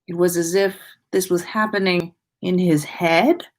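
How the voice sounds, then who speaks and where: A woman host reading fan fiction aloud in an expressive way, with small stumbles and self-corrections. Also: on the low side, a little slow. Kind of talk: expressive story reading, livestream.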